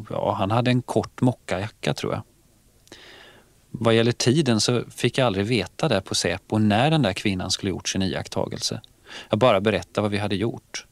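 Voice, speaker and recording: A man's voice: lowish voice, no echo. A man reading out a police officer's first-person account in a conversational way for a radio programme. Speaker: reader of a police officer's testimony. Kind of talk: read-out first-person testimony in conversational manner.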